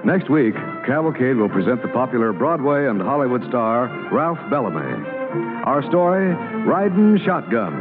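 A man's voice: mid-range voice, sing-song, moderate pace. A man reading a scripted promotional preview as an old-time radio announcer. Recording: tinny old radio, loud music behind.

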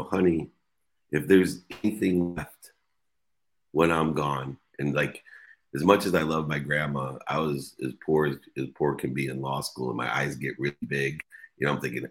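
A man co-hosting a podcast, telling casual anecdotes in a folksy, joking way. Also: a bass voice. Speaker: podcast co-host.

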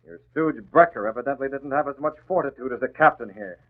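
An actor playing a brisk, commanding masked crime-fighter with a dry wit, in 1940s radio acting. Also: male voice, mid-range voice, close to an everyday voice. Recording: tinny old radio recording with faint noise.

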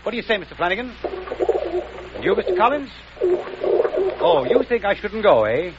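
A man's voice: sits high, wildly swooping pitch, normal speed, creaky, full character voice. An actor playing a polite, formal young man out of work who hesitates, in 1940s radio acting. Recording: dull old radio recording, hiss.

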